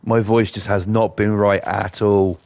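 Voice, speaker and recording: A man hosting casual gameplay commentary, blunt and defiant, his voice croaky from a cold. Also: lowish voice, level tone, a little slow, a little mellow, no echo. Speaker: gaming channel host.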